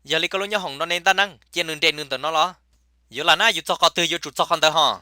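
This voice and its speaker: A man's voice: fairly high pitch, fast, very noisy. A man performing casual, chatty comic dialogue for a cartoon.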